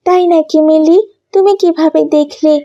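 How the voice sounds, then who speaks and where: Woman voicing high, plaintive young cartoon woman, muffled. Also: fairly even intonation, moderate pace, warm tone. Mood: doubtful, anxious. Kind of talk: cartoon dialogue acting.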